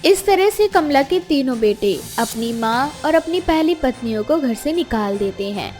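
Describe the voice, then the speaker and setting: Woman storyteller narrating a moral tale, high voice, background music. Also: animated, normal speed, darkish tone.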